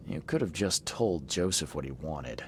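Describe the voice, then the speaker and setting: A weary video game investigator with an extremely deep voice. He questions bluntly and dryly, with a creaky rasp, against clearly noisy ambience. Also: lively intonation, normal speed. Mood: skeptical, stern. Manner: dry, probing, blunt. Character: gruff, weary male investigator, skeptical and blunt. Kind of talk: video game dialogue acting.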